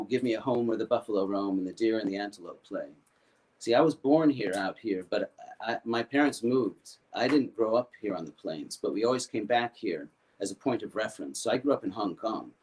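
An older American man telling a personal story in a halting way, often repeating and restarting his words. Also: on the low side, darkish tone, low-quality recording. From United States. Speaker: foundation founder.

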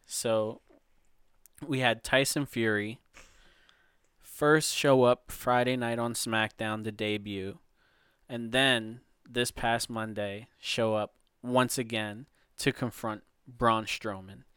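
A man hosting a podcast, introducing topics and steering the chat at a slow, unhurried pace. His voice is smooth and clear, and the sound is dry and close. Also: mid-range voice, steady tone, fairly bright tone, no echo. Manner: leads the talk, sets up topics, unhurried. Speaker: podcast host. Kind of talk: casual sports chat.

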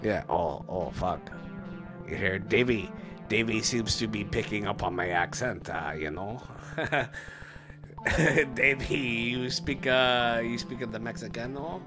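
A man's voice: on the low side, a little slow, over music. A man co-hosting a podcast, rambling casually through the sign-off with lots of fillers and restarts. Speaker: podcast co-host.

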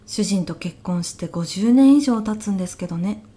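A woman playing an elderly widow in an audio comedy sketch, polite and soft-spoken, with deadpan, bewildered reactions. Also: mid-range voice, normal speed, slightly rough. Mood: polite, wistful.

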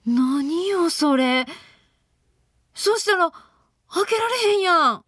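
A woman's voice: very high-pitched, expressive, takes it slowly, fairly bright tone, dry recording, clean studio sound, exaggerated voice. An actress with a Kansai accent playing a frightened, exasperated young woman fleeing zombies in a comedic radio drama, incredulous and pleading. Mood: confused.

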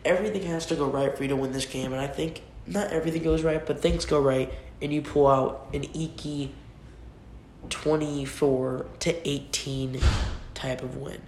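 A man's voice: fairly high pitch, steady tone, normal speed, a little mellow. Young male solo podcast host, sick with a cold, casual and rambling, roomy echo on the recording. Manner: rambling, restarts often, informal. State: has a cold. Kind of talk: casual solo sports predictions. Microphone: computer mic. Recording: echoey room with faint noise.

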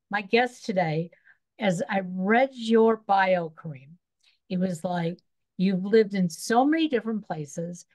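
A woman's voice: medium pitch, a little mellow, rough recording. Woman podcast host, conversational introduction.

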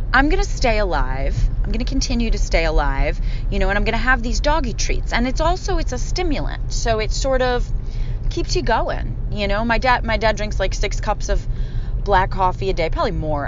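A young woman from Philadelphia with a slight Philadelphia accent, musing wryly in a rambling casual monologue, with many fillers and restarts, alone in a parked car. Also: mid-range voice. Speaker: podcast host. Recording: dull lo-fi sound with steady noise.

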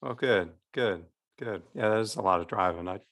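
A man's voice: fairly low pitch, moderate pace, a bit bright. A man co-hosting a podcast as a parish priest, talking casually and rambling with restarts. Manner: rambling, many restarts and fillers.

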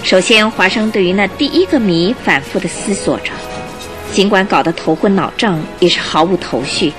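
A woman giving scripted, expressive storytelling narration for a Chinese radio drama in a measured manner. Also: medium pitch, over music.

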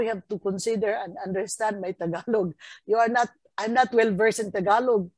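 A woman in her sixties with a Filipino accent, recalling her long career in measured, hesitant speech. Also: mid-range voice, darkish tone, no room sound, low-quality recording. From Philippines, Mindanao. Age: 60s. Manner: measured, hesitant with many fillers. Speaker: retired education official, guest. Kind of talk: reflective interview answers.

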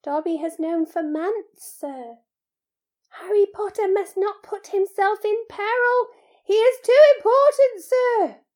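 Woman as small male house-elf, very high squeaky voice, servile, anxious, devoted, slow emphatic delivery, audiobook character. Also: a little mellow. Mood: fearful, pleading. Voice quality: squeaky, breathy with emotion. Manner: servile, refers to himself in third person, pleading.

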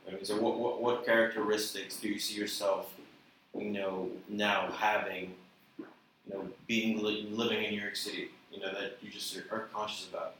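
A man's voice: fairly low pitch, normal speed. A man hosting a casual interview, loose and affirming, asking long rambling questions. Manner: relaxed, loose, affirming with "gotcha" and "mm-hmm". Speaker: interviewer host. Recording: echoey room, distant mic, light noise.

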